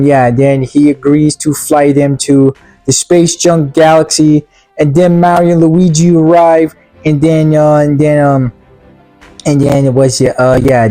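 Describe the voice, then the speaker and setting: Halting, sarcastic man over music. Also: a little high, mellow. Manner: halting, stammering delivery with repeated words; sarcastic, mock-earnest. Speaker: video reviewer. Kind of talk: casual, rambling movie review commentary.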